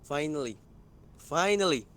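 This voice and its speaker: A man's voice: on the high side, expressive, unhurried. A man from Guyana, chatting casually as a guest on an online voice call. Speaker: guest caller in an online community chat. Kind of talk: casual chat. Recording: faint noise, online voice call.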